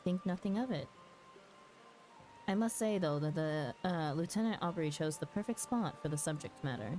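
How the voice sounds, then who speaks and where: A woman with a fairly low, soft-edged and mellow voice hosts a casual game stream. She talks in a chatty, halting way, dropping muttered asides as she goes. Music plays clearly behind her. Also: lively intonation. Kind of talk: casual live game-stream commentary, reading dialogue aloud. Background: background music.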